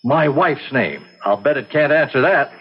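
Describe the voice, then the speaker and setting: An actor playing an amiable, curious gray-haired banker and war veteran in an old-time radio drama, on a muffled recording. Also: male voice, medium pitch, lively intonation, moderate pace, breathy edge. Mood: curious, challenging.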